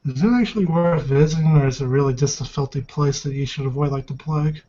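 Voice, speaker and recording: A man's voice: a little high, fast, warm tone, muffled recording. A man talking casually as a player in a tabletop roleplaying game.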